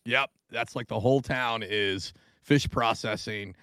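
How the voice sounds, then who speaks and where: A man's voice: medium pitch, unhurried, fairly bright tone, clean tone, dry recording, clean studio sound. A man co-hosting a podcast, blunt and crude, roasting the others in casual banter. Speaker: podcast co-host.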